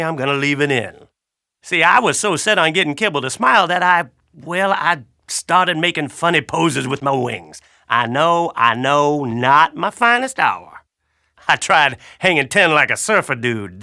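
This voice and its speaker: A man voicing a friendly, wry blackbird who tells a children's story in a folksy, chatty, expressive way. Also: a little high. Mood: wry, confiding. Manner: folksy, expressive, addresses listener directly. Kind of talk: animated children's audiobook storytelling.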